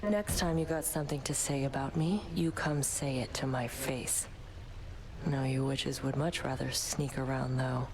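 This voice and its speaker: A woman's voice: extremely low pitch, steady tone, a little slow, crowd noise, low-quality recording. A woman voicing a brash, combative young warrior rival in a video game, blunt and challenging. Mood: confrontational.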